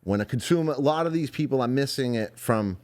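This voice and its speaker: A man's voice: medium pitch, animated, fast talker, darkish tone. A man hosting a casual podcast, talking in a loose, rambling way. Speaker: podcast host. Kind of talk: casual chat.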